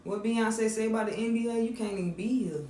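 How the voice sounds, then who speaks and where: A man with a deep voice and a Southern accent, co-hosting a podcast in laid-back, joking banter. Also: quick pace, mellow. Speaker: podcast co-host. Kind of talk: casual chat. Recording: distant mic in an echoey room with faint noise.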